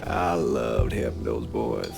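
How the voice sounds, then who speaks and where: An actor playing a weathered priest looking back in a game's documentary-style interview, plain-spoken, wistful and gravelly. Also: male voice, very deep voice, lively intonation, takes it slowly, loud music behind the voice, heavily acted. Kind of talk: documentary-style interview acting in a video game.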